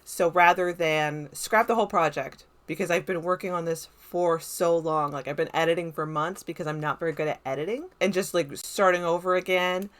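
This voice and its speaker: A woman's voice: on the low side, brisk, few pauses. A woman giving casual, unscripted film commentary to viewers in a chatty, rambling, self-deprecating way. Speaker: video essayist reviewing a film.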